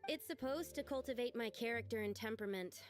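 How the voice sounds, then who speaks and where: A woman's voice: fairly high pitch, fast talker, faint music behind, lightly acted. An actress playing an earnest, dramatic young lady with big ambitions in a video game, speaking expressively. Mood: glum, resigned.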